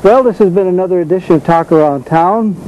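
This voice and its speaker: An older American man hosting a casual interview, speaking haltingly and often repeating his phrases. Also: high-pitched, lo-fi sound, a little hiss or hum. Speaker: talk show host. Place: community television studio.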